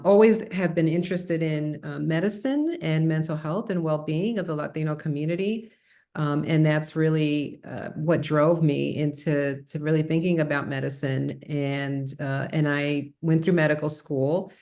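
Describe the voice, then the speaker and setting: Low-pitched middle-aged lady, bit of a new york accent, thinking out loud on a video call. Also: fairly even intonation, moderate pace, vocal fry. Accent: New York area. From Puerto Rico, raised New Jersey and New York. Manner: thoughtful, many fillers and restarts. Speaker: psychiatrist guest. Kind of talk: conversational interview.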